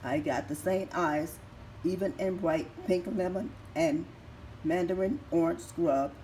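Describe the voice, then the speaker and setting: Raspy-voiced woman in her fifties. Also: lowish voice, measured pace, warm tone. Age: 50s. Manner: methodical, reads prices off receipts. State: recovering from surgery. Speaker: couponing vlogger. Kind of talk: casual product haul walkthrough. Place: indoor room at home. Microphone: phone camera held over items. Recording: echoey room with faint background noise.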